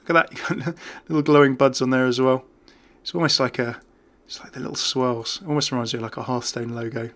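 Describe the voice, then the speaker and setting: A man's voice: mid-range voice, steady tone, quick pace, hardly any pauses, a bit bright. Chatty man doing gaming commentary. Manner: chatty, jokey. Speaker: gaming video host.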